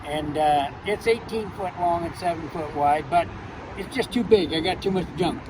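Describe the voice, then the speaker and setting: Folksy older man, high-pitched voice. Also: moderate pace, background noise. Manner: rambling folksy storyteller. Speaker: wagon builder and blacksmith. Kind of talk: casual interview. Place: outdoors by a van on a street. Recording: background music under outdoor talk.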